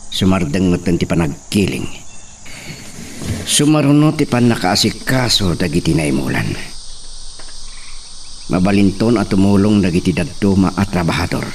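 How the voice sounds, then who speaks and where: A man's voice: low-pitched, recorded from a distance, a little hiss or hum. A man playing a wary, thoughtful old rural father in an Ilocano radio drama. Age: older.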